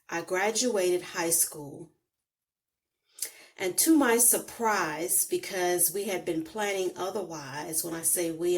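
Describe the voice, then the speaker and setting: A middle-aged woman from the Bahamas with a Bahamian accent, telling her life story to camera slowly and reflectively, recorded on a phone on a holder. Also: on the low side. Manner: slow, deliberate, reflective delivery with emphatic pauses.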